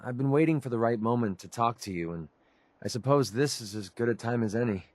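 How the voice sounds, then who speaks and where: A man's voice: medium pitch, animated, brisk, darkish tone, no echo, natural voice. An American man playing a weary, sincere young mercenary in a video game, earnest and hesitant as he confides. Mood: sincere, grateful. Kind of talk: video game dialogue acting.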